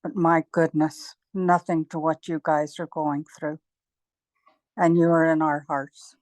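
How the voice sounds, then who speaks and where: A woman's voice: low voice, little pitch movement, a little slow, a little mellow, no echo. A woman from Belfast, making an earnest comment in a casual online group. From Belfast, Northern Ireland. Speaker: participant in an online session.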